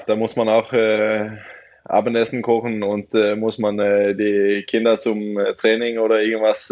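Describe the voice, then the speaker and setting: A Norwegian-born man speaking German as a guest in a casual interview. He has a Norwegian accent, searches for words and pauses on many fillers. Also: fairly low pitch, darkish tone, lo-fi sound. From Norway. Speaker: guest, handball player.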